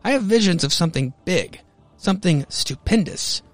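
A man's voice: high-pitched, lively intonation, moderate pace. A man voicing an ambitious restaurant owner with a grand, enthusiastic salesman's manner. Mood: grandly ambitious.

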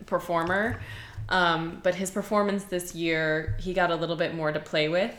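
A woman's voice: lowish voice, flat delivery, moderate pace, fairly bright tone, echoey room, a little hiss or hum. A woman co-hosting a podcast, chatting casually and emphatically about favorite television performances. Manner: enthusiastic, emphatic. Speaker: podcast co-host.

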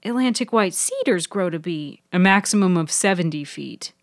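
A woman voicing an earnest intern companion in audio fiction, measured and deliberate, keeping excitement contained. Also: fairly low pitch, no echo, studio quality. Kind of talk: audio fiction character reading.

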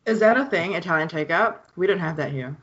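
A woman's voice: on the low side, lively intonation, quick pace, dull audio. A woman with an Australian accent bantering casually as a podcast co-host. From Perth, Australia.

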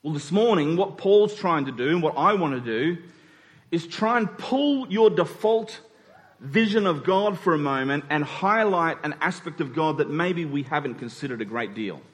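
Man, high-pitched voice, preacher, conversational sermon, repeated key phrases, rhetorical questions to congregation. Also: expressive, moderate pace. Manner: rhetorical check-ins with the congregation; emphatic repetition of key phrases.

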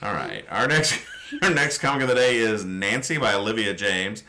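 A man's voice: fairly low pitch, lively intonation, moderate pace, bright, forceful tone. A man hosting a podcast, chatting casually and explaining things with mock-serious care. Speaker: podcast host. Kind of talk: casual chat, describing comic strips.

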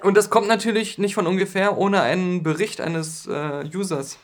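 A man's voice: high-pitched, fairly even intonation, normal speed. A man co-hosting a casual comedy podcast, joking and often laughing as he reads posts aloud. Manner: jokey, giggly, reads forum posts aloud. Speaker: podcast co-host.

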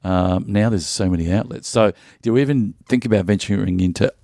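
A man's voice: fairly low pitch, fairly quick, gravelly, warm tone, no echo, studio quality. A middle-aged Australian man from Melbourne, a comedian guest in an interview, chatting and telling jokey stories with lots of restarts. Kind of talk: casual interview chat.

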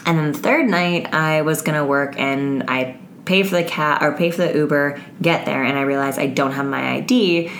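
A woman hosting a casual solo podcast, her voice raspy and hoarse as it gives out. She talks frankly, with lots of fillers and swearing. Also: sits low, moderate pace, fairly bright tone, slight background noise. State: hoarse, voice giving out. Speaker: podcast host.

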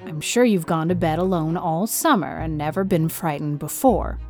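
A woman's voice: deep voice, a little mellow, faint music behind, voice pushed down. Woman doing a stern, scolding older woman. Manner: brisk, scolding.

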